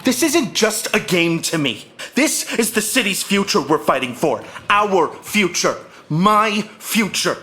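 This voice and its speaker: A highly strung young cartoon brawler, entitled and driven. His voice is bright and forceful, swinging widely in pitch. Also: sing-song, unhurried, full character voice. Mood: intense, determined.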